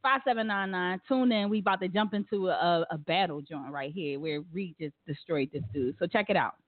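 A woman hosts a loose talk radio show in a mid-pitched, blunt voice full of street slang. The sound is thin and phone-like, with a dry, close sound. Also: brisk, dry recording. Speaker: radio host. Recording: tinny phone-like line, dry.

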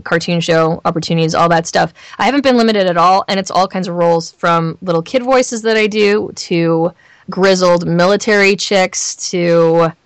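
A woman with a Southern California accent speaks in a fairly low voice, chatting candidly as an interviewed voice actor. She tells things expressively, repeating phrases for emphasis. The sound is close and dry. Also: no room sound. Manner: animated storyteller, emphatic repetition, acts out imagined lines.

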